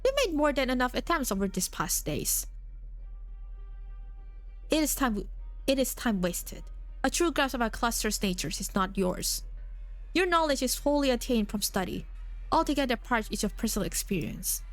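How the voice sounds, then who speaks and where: A woman's voice: fairly high pitch, expressive, moderate pace, long pauses, natural voice. A woman voicing an aloof, ancient non-human being who talks in a formal, archaic way, with faint music behind. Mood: cold.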